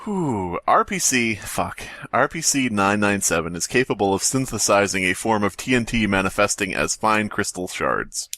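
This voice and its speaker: Guy podcast cohost, sweary joker, pitch jumps around a lot, close dry sound. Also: animated, normal speed, few pauses, sharp tone, no room sound. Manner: profane, joking. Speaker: podcast co-host. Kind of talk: casual comedic banter and read-aloud.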